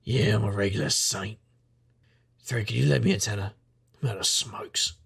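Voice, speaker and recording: An actor playing a sardonic, world-weary private investigator, narrating and talking in a dry deadpan noir style. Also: male voice, lowish voice, normal speed, gravelly, slightly rough, heavily acted. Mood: bitter, sardonic. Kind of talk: noir audio drama, first-person narration and dialogue.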